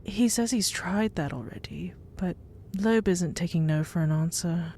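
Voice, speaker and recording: Woman acting as a slow-paced, teasing young wife. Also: lowish voice, slight background noise. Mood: concerned. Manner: teasing, gently bossy. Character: pregnant young wife, teasing, caring and firm. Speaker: voice actor. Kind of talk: audio drama acting.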